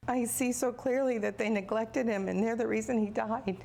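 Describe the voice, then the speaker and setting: A woman's voice: a little high, steady tone, moderate pace, gravelly, mellow, a little hiss or hum. A woman giving a personal account as an interviewed pet owner for a news report.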